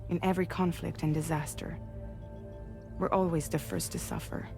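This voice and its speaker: Low-voiced, protective female team leader. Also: wildly swooping pitch, gravelly, mellow, faint music behind, exaggerated voice. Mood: concerned, earnest. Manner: measured, earnest. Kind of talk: dramatic video game cutscene acting.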